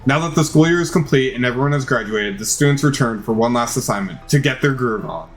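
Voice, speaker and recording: A man's voice: mid-range voice, animated, fast. A man reading out a video game's story dramatically as he plays, stumbling now and then. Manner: theatrical, with occasional stumbles. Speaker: video game player narrating a playthrough. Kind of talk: dramatized reading of game text. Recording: music behind the voice. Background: game music.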